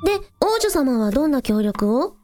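A high, animated young girl, played by a woman, casual and take-charge. She chats and teases in a breezy audio drama manner, with faint music behind. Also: normal speed. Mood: excited, brisk. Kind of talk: Japanese audio drama acting.

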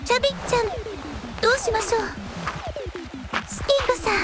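A woman's voice: very high-pitched, steady tone, brisk, a bit bright. A synthetic female voice playing an anxious, polite young shrine maiden in a radio drama. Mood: distressed. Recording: music under the voice.

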